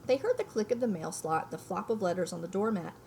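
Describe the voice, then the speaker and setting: A woman reads a children's story aloud with very lively, swinging intonation. Her delivery is explanatory and informal, as if guiding young listeners. There is faint noise behind her voice. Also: mid-range voice, quick pace, creaky. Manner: explanatory, checks understanding with listeners. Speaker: reader reading a children's book aloud. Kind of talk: expressive read-aloud with informal explanatory asides.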